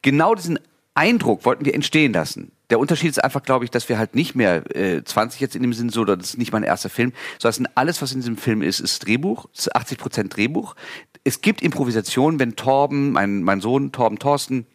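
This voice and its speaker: A man's voice: mid-range voice, lively intonation, fairly quick, no echo, clean studio sound. A man in his forties, a filmmaker talking casually in an interview, rambling with many fillers and restarts. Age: 40s.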